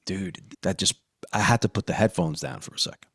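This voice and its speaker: A man hosting a podcast, discussing and reviewing in a conversational, emphatic way with deliberate phrasing. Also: deep voice, creaky, a bit bright, breathy edge, no echo, clean studio sound. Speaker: podcast host.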